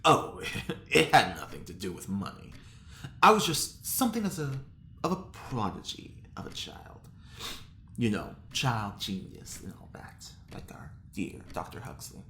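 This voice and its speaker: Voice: medium pitch, wildly swooping pitch, measured pace, pushed and bright, full character voice. A woman playing a flippant, grandiose art-forger convict with wry mock politeness in a sci-fi comedy audio drama. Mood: breezy boasting. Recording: roomy echo, faint background noise.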